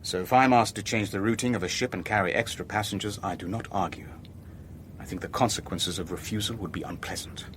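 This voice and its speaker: A male actor plays a shrewd, weary shipping boss in a radio spy drama. His voice sits low and he speaks in measured, clipped phrases, deliberate in narration and dialogue alike, over noticeable background noise. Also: a bit bright, natural voice. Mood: guarded, controlled. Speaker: narrator and lead character.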